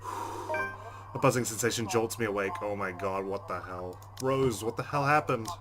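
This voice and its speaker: A man's voice: on the low side, moderate pace. A man hosting a let's play, reading visual novel narration aloud in a dramatic way. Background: game music.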